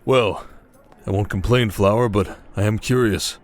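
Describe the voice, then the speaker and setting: A man voices a desert king and protective husband in a fantasy ASMR drama. His voice sits low, and he carries himself with confident command and a dry, teasing wit. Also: measured pace, close to an everyday voice. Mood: teasing, affectionate. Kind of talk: dramatic ASMR roleplay acting.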